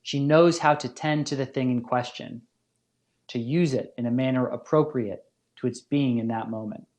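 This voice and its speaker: A male lecturer with a medium-pitched voice reads a scripted academic talk. He phrases things formally and moves through each thought in a measured, deliberate way. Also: darkish tone. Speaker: lecturer, professor.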